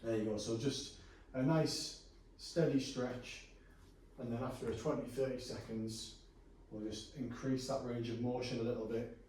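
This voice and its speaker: A man working as a personal trainer, calmly talking a client through stretches in a gym, his voice a little hoarse. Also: medium pitch, normal speed, a little hiss or hum. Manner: relaxed, reassuring instructions with frequent fillers. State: going hoarse. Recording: echoey room from a distance.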